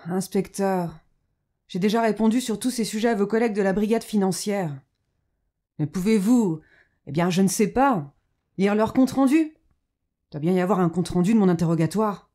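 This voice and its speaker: A woman's voice: mid-range voice, moderate pace, warm tone, natural voice. A woman playing an indignant, haughty businesswoman who answers cuttingly, in a French audio drama. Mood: cold, irritated. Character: defiant, indignant businesswoman under police questioning.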